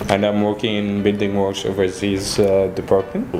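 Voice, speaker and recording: A man's voice: lowish voice, completely flat delivery, moderate pace, noisy background. A man from Egypt with an Egyptian accent, chatting casually in amused, hesitant reactions as he tastes food. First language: Arabic. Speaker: company employee, food tasting participant. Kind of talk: casual chat, reacting while tasting food. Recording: roomy echo, music behind.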